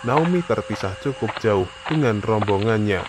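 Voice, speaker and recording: A man's voice: on the low side, animated, normal speed, background noise. A man narrating a scripted story for a horror animation.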